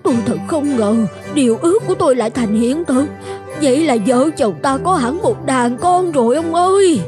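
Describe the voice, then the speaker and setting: A woman's voice: sits high, over music. A woman playing an elderly, motherly peasant wife in a fairy-tale cartoon, speaking expressively. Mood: amazed. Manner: expressive, drawn-out exclamations.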